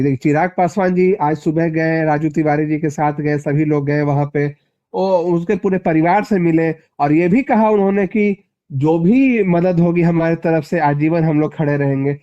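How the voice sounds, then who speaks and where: Man, high pitch, emphatic politician, repeats phrases, phone interview. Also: warm tone, highs cut off. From Bihar, India (Magadh region). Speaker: party politician being interviewed. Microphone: phone call.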